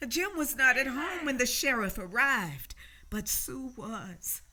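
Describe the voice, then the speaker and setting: A woman's voice: a little high, very animated, slow, noisy background. A woman narrating a radio drama in a solemn, emphatic and intense way. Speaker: storyteller.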